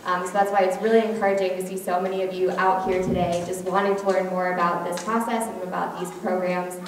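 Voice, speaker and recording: A woman's voice: fairly low pitch, flat delivery, quick pace, slight background noise. A woman giving an earnest talk to a live audience about a foster care program, with frequent ums and likes. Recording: echoey room, heard from far off.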